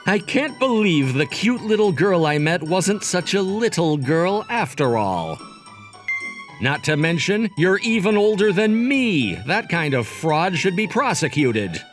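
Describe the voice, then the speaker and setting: A man's voice: high-pitched, big pitch swings, measured pace. An actor playing a smug, logic-obsessed young man who narrates and banters theatrically in a manga dub. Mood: teasing, incredulous. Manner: smug, wordy, theatrical, dramatic asides. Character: cocky, logic-obsessed young male job seeker, teasing romcom narrator. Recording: music behind the voice.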